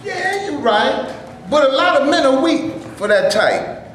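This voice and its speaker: The sound is heavily echoed with faint noise behind. A man plays a preachy, cautious union man on stage, explaining things colloquially with a lively swing in his pitch. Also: measured pace, full character voice. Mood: easygoing. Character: frustrated, preachy but cautious male actor, union man. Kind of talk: staged play reading. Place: echoey room before a live audience. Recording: echoey room with noise, live audience recording.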